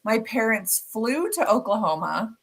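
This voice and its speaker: A woman's voice: fairly high pitch, big pitch swings, measured pace. A woman in her thirties or forties with a Canadian accent, chatting casually as a podcast co-host. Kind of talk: casual chat.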